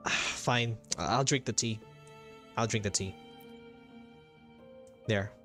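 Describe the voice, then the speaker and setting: Low-voiced man streaming a game, unhurried. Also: lively intonation, fairly bright tone. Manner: hesitant, thinking aloud, repeats himself. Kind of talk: live playthrough commentary, casual reaction. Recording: game music behind the voice. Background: video game music.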